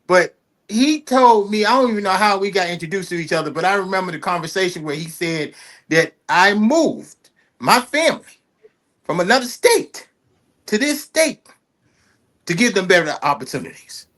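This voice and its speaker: A man hosts a casual interview, his voice sitting a little high. He rambles eagerly, circling back and repeating himself as he talks. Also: lively intonation, normal speed, fairly bright tone. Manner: enthusiastic, rambling, heavy fillers and repeats. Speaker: host.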